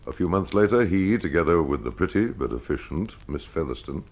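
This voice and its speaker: A man hosting and narrating a scripted detective mystery in old-time radio style, telling it to the listener in a measured, dramatic way. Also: low-pitched, big pitch swings. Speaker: host and narrator of a mystery programme.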